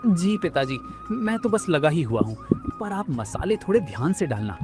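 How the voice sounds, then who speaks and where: Young guy doing earnest respectful son, cartoon dub, lively pitch swings, music in back. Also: normal speed. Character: dutiful, earnest young son helping at food stall. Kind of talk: cartoon story dialogue. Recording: music under the voice.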